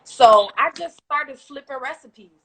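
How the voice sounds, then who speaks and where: Chatty Haitian-accented woman, bright tone. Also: sits high, measured pace. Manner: talkative, animated, laughs often. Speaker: guest entrepreneur. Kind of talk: casual live-stream chat. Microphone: video call.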